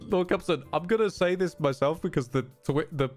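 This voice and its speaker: A man's voice: a little high, a little fast, soft background music. A man giving casual live game commentary as a streamer, swearing, grumbling wryly and cutting himself off. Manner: sweary, self-interrupting, wry grumbling.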